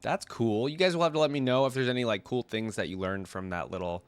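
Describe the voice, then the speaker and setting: A man's voice: mid-range voice, fast talker, smooth. A man congested from a cold, giving casual, informal reaction commentary as a video host, thinking aloud as he goes. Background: loud music from the videos watched.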